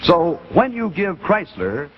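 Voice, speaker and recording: A middle-aged American man giving a polished, deliberate scripted sales pitch as a 1950s television announcer. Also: very animated, full character voice. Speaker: commercial announcer. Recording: muffled 1950s television broadcast with faint noise.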